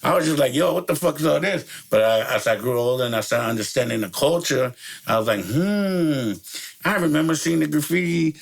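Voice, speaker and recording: A man's voice: a little high, a little fast, gravelly. A middle-aged man from El Salvador, a DJ guest chatting casually in laid-back street slang, laughing often and saying "you know" a lot. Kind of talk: casual interview chat.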